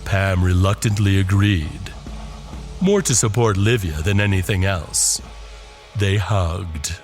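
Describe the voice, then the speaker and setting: Casting: male horror-podcast narrator, low voice, scripted delivery with dramatic pauses, over clear background music. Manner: dramatic pauses and drawn-out phrases.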